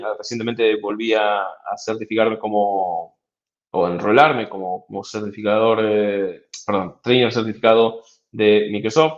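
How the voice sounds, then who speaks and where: A man's voice: lowish voice, dull audio. A man from Argentina with an Argentine Spanish accent gives a reflective conference talk, with many fillers and restarts. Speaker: conference speaker.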